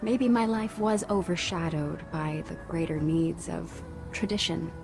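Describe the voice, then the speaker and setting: An actress playing a weary, dutiful Spartan priestess in a video game, speaking in a measured, reflective way. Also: female voice, lowish voice, vocal fry. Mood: wistful, resigned. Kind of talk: cinematic video game acting. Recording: music behind the voice.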